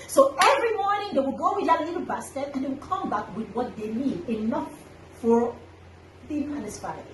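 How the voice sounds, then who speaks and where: A woman hosting a children's story time, telling an animal tale with dramatic, deliberate emphasis. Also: high-pitched, animated. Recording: echoey room, distant mic, faint noise.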